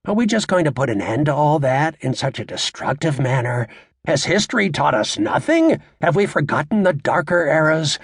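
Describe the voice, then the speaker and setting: A man playing a brash, crude stand-up comedian working a club crowd in an audiobook, with a raspy voice and a cocky, sarcastic, showy, needling delivery. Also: fairly high pitch, very animated, normal speed, dry recording, clean studio sound, heavily acted. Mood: mock-earnest.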